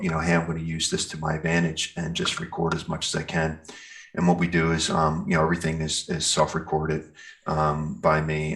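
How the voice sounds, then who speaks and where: A man's voice: very deep voice, creaky, fairly bright tone. A man speaking casually as an interview guest, explaining things in a rambling, think-aloud way. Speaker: interview guest, metal band singer and guitarist.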